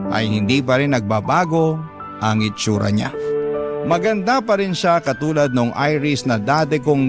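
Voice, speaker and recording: Filipino man, mid-pitched voice, sentimental scripted storytelling, radio drama narrator, music clearly behind.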